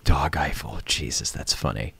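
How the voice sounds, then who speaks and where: Male live-stream host, low pitch, casual and unscripted, thinks aloud. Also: normal speed, vocal fry, sharp tone.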